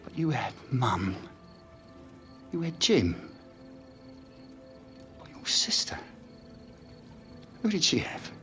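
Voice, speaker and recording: A man's voice: medium pitch, big pitch swings, slow, long pauses, sharp tone, full character voice. A middle-aged man playing a regretful, estranged father in a naturalistic video game drama. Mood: regretful, pleading. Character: regretful estranged father pleading with grown son. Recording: music clearly behind the voice.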